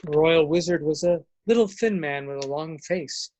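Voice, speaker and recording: A man narrates a fairy-tale style story. His voice is on the high side for a man, with a read-aloud storybook delivery. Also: moderate pace, darkish tone, slight background noise.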